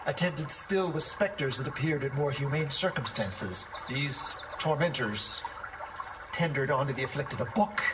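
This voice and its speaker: An actor playing a timid, superstitious schoolmaster in an audio drama, speaking in a formal, wordy, nervous way. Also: male voice, medium pitch, measured pace, noisy background, lightly acted. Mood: grave, absorbed. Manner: bookish, formal, wordy, jittery.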